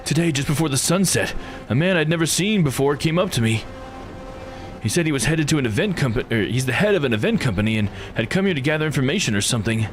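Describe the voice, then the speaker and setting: A man's voice: medium pitch, lively intonation, fast, faint music behind, close to an everyday voice. A man voicing an urgent, distressed young man in a visual novel game. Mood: earnest. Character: young distressed man, son of a murdered father.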